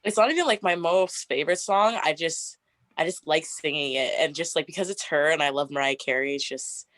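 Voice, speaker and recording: A young woman in her twenties with a deep, raspy voice, chatting casually as an interview guest and laughing often. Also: brisk, fairly bright tone, no room sound. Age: 20s. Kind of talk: casual get-to-know-me interview.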